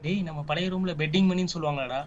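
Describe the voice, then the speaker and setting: A man's voice: on the high side, very fast, dull audio, slight background noise, lightly acted. A man playing a persuasive young betting tout, talking in insistent, chummy sales patter in a short film. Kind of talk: naturalistic short film acting in colloquial Tamil.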